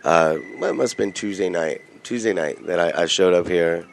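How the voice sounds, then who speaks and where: A man's voice: low-pitched, moderate pace, vocal fry, a little hiss or hum. A male radio host in casual chat, rambling and jokey, often restarting his sentences.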